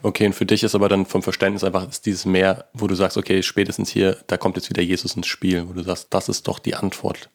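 A man hosts an interview in a lowish voice that stays fairly flat. He probes in a chatty way and thinks aloud as he builds his questions. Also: fast talker, few pauses. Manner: probing, chatty, thinks aloud while asking. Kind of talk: conversational interview.

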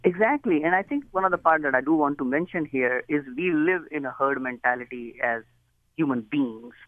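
A man's voice: on the high side, expressive, brisk, no room sound. An Indian man speaks over the phone as a guest on a talk show. He is earnest and chatty and likes to laugh at his own jokes. The line is thin and tinny, and the sound is dry and close. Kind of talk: phone interview.

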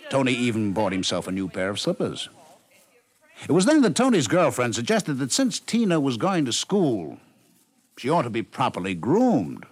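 A man's voice: medium pitch, sing-song, normal speed, breathy edge, dry recording. An American man narrating a scripted 1950s radio story in a wry, polished way.

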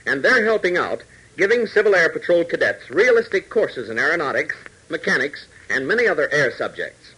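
An American man announcing and narrating a 1940s radio serial in an emphatic, dramatic, husky voice. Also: fairly high pitch, bright, forceful tone, rough recording.

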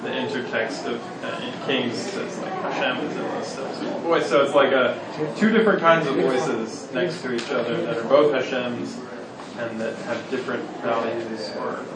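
A man's voice: medium pitch, moderate pace. Hesitant man student, heard from afar. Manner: hesitant, with many ums and restarts. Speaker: student in a class. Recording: distant mic in an echoey room, loud noise.

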